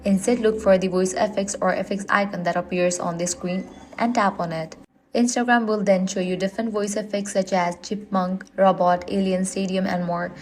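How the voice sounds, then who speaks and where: A woman's voice: medium pitch, fairly even intonation, normal speed, a little mellow, slight background noise. A woman reading a scripted how-to guide in an instructional, step-by-step manner. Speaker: tutorial narrator.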